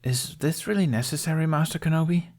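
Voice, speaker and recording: A man's voice: on the high side, brisk, darkish tone, pitched above the performer's own voice, lightly acted. A man voicing a skeptical, complaining young male private in an audiobook. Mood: reluctant. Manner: incredulous, complaining. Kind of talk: audiobook character dialogue.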